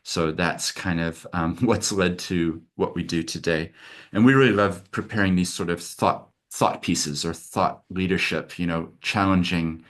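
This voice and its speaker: A man's voice: low voice, measured pace, a bit bright. A middle-aged man from America, a lawyer hosting a webinar over a video call, talking in an earnest, open, conversational way.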